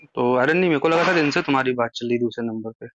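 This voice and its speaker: A man's voice: mid-range voice, fast talker, fairly bright tone, highs cut off, slight background noise. A man in a casual private phone chat, explaining and retelling conversations on a recorded call. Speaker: phone caller.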